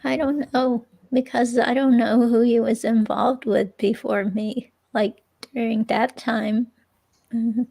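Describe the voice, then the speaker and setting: Uneasy young ex-girlfriend at a police interview, played by a woman: halting, flat in pitch, fry-edged. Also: heavily acted, low-quality recording. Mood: reflective, unsure. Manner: halting, hesitant, filler-laden delivery. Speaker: reader playing an interviewee. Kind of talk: dramatic reading of police interview transcript.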